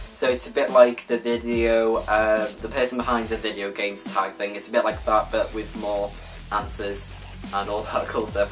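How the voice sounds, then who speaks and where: A man's voice: fairly low pitch, a bit bright. Chatty young Welsh man, faint music. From Wales. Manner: rambling, chatty, self-correcting with many fillers. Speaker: gaming YouTuber. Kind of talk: casual vlog talk to viewers.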